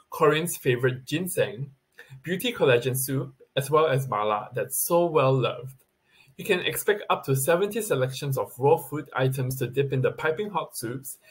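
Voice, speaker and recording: A man's voice: medium pitch, husky. A man reading a scripted countdown list as a video presenter, recorded on a webcam in a plain indoor room.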